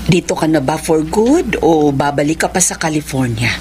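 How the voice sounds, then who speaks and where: A woman's voice: low voice, expressive, moderate pace, darkish tone, a little hiss or hum. An actress playing a concerned, questioning older Filipina mother in a radio drama.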